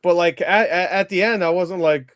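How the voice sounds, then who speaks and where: A man's voice: high-pitched, moderate pace, fairly bright tone, dry recording, studio quality. A man giving a casual film review in a rambling, emphatic and blunt way, as a movie reviewer in conversation.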